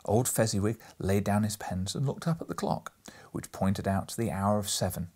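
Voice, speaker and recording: A man reading a classic story aloud as an audiobook narrator, expressive and theatrical with breathy emphasis. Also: lowish voice, normal speed.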